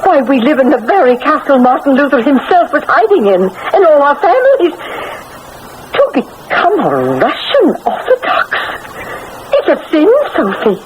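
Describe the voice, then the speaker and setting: A woman's voice: sits high, sing-song, moderate pace. A woman playing an anxious, bossy middle-aged aristocratic mother in a radio drama, emotive and scolding. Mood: anxious, pleading. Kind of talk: 1980s radio drama acting. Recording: noisy old radio drama broadcast recording.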